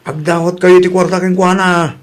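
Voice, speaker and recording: A man's voice: sits high, level tone, normal speed, close to an everyday voice. A man voicing an eager, pushy grown son in a radio comedy drama, coaxing and insistent.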